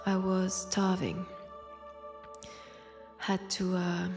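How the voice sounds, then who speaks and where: A woman's voice: on the low side, creaky, darkish tone. A woman with a French accent playing a wistful, wry centuries-old vampire in a convent, in an intimate audio roleplay, hesitant and sighing. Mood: uneasy, confessional. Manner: hesitant, sighing, drifting into near-whispers. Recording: long church-like reverb.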